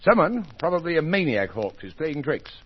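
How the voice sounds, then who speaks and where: An actor playing a brisk, authoritative police inspector in an old-time radio mystery, speaking in a clipped, commanding way with a husky voice. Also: male voice, on the high side, sing-song. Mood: intent, persuasive. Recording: dull old radio recording.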